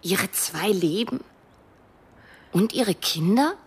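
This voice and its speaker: A woman's voice: medium pitch, lively intonation, slow, slightly rough, full character voice. An actress playing a young woman who narrates a radio drama, probing and direct with a wry edge. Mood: probing, challenging. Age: young adult. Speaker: first-person narrator.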